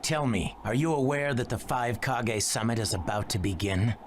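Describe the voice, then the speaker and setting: A man's voice: medium pitch, normal speed, slight background noise, natural voice. A man voicing a formal, guarded adult ninja aide in a game cutscene. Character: loyal adult male ninja aide to a leader.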